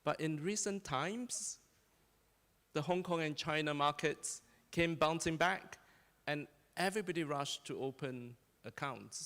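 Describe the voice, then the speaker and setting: Gravelly-voiced man moderating a panel. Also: on the high side, fairly bright tone, big-room sound. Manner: joking, scripted introductions. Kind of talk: panel interview on stage. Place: conference hall.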